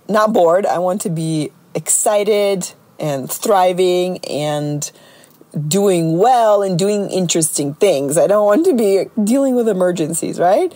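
A middle-aged woman with a slightly raspy voice, talking casually and chattily as a solo podcast host and coach. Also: medium pitch, a little mellow, dry recording.

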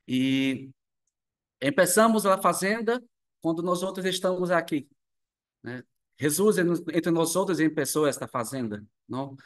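Brazilian man speaking halting Spanish. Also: a little high. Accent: Brazilian Portuguese. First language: Portuguese. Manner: slow, halting, apologetic about his Spanish. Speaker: community member. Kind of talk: speaking on a video call. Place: living room with people on a sofa behind. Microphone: video call.

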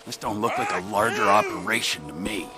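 An actor playing an unhinged, vengeful middle-aged male criminal in a video game, taunting and shouting with a North American accent. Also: lowish voice, fairly quick, over loud music, natural voice. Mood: mocking. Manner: taunting, shouted, volatile delivery. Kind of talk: video game character acting. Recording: game audio under loud gunfire noise. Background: shootout and action noise.

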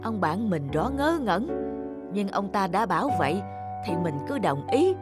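A sly, fat old neighbour from a cartoon folktale acted out by a woman. He is lazy and grumbles, scheming and blustering over a clear music bed. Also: mid-range voice, very animated, unhurried. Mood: smug, scheming. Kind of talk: cartoon folktale character acting.

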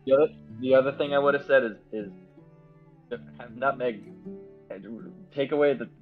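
A man's voice: mid-range voice, steady tone, moderate pace, phone-quality sound. A man chatting casually and enthusiastically as a guest game developer on an online call, in a rambling way. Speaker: game writer and director, guest. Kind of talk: casual chat in a Q&A. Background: guitar music playing softly.

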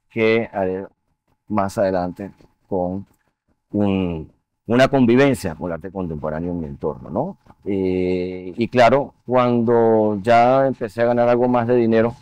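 A man, a guest in a studio TV interview, speaking in a measured, reflective way with frequent fillers. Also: on the low side, darkish tone, dry recording. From Venezuela. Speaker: interview guest, finance executive. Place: TV studio set. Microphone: lapel mic.

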